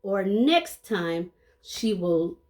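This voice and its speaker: A woman's voice: mid-range voice, expressive, drawn-out words, a little mellow. A woman reading a children's story aloud expressively, playing up the sound words and adding short asides to her listeners. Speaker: storybook reader.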